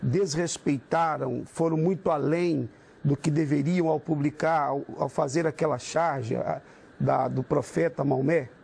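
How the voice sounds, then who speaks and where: A man asking a guest a question in a halting way, searching for words. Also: a little high, fairly even intonation, creaky, darkish tone, husky. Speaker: interviewer.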